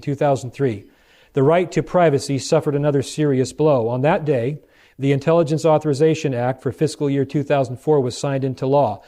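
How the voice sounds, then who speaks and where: American male preacher with a mellow voice. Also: medium pitch. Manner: rhetorical questions to the listeners, deliberate emphasis. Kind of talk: sermon lecture with read-out quotations.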